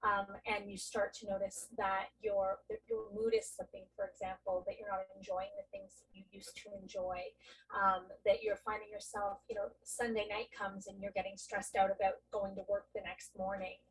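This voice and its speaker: A woman's voice: medium pitch, level tone, normal speed, slightly rough. A woman panelist speaking earnestly and thoughtfully, often correcting herself mid-sentence. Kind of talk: reflective panel discussion. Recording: voice distant from the mic.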